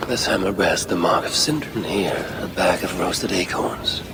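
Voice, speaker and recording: An actor playing a brooding, resolute Norse warrior god in a video game, speaking gravely and deliberately in a gravelly voice. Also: male voice, deep voice. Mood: musing, investigating. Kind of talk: video game dramatic acting and musing. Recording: loud background ambience and noise.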